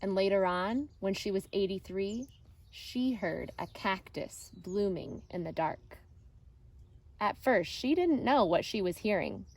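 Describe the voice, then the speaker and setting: A woman reading a children's picture book aloud like an elementary teacher, deliberate and expressive with pauses. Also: mid-range voice.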